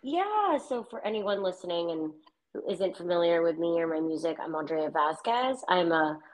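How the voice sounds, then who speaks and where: A woman's voice: on the low side, very animated, moderate pace, poor audio. A woman guest on a podcast, a country singer-songwriter, talking in a friendly, upbeat way and laughing easily. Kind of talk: podcast interview.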